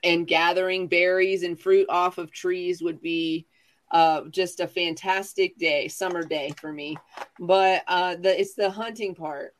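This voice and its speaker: A man's voice: high-pitched, steady tone, a little slow, a bit bright. A man in his forties telling casual comic stories as a chat show guest, sassy, dramatic and self-mocking. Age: 40s.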